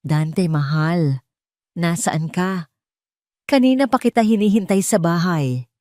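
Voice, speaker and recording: Woman acting a soft, pleading sweetheart. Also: on the low side, expressive, slow, dry recording, studio quality. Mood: tender. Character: tender, hurt, clingy young sweetheart.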